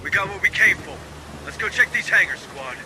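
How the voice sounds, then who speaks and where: A man voicing a gruff, commanding marine sergeant in a video game, giving terse, authoritative military orders. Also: high voice, expressive, fairly quick, background noise. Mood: commanding, firm. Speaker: squad leader.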